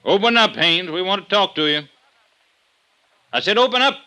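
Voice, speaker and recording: An American actor playing a tough, businesslike police detective in a vintage radio crime drama, gruff and terse. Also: male voice, high-pitched, lively intonation, moderate pace, pushed and bright, full character voice. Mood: commanding shout. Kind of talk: 1940s-50s radio crime drama acting.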